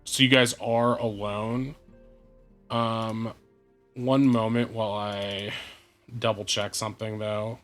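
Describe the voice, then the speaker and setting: A man's voice: fairly low pitch, flat delivery, fairly bright tone. Hesitant male game master, faint music behind. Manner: measured, with many hesitant fillers. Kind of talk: running an online tabletop game.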